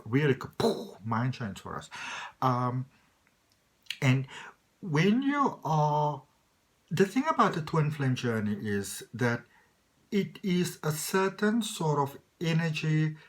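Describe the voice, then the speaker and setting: Thirties spiritual coach, mid-range voice, earnest and reassuring, full of "you know". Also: mid-range voice. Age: 30s. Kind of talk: motivational talk to camera.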